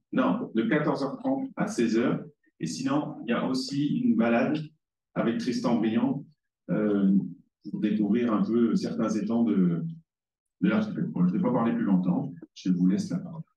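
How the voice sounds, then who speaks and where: A man's voice: mid-range voice, normal speed, dark tone. A man running a conference session makes loose, self-deprecating announcements in a medium voice. His voice echoes around a large hall and sounds distant from the mic. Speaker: session chair.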